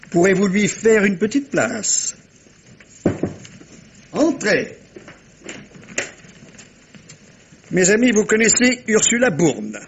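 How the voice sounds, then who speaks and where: An actor playing a shrewd, self-assured middle-aged detective in a French radio mystery, commanding and theatrical, speaking with deliberate dramatic pauses. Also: male voice, sits high, slight background noise, full character voice. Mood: courteous, commanding. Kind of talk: 1950s-style French radio mystery acting.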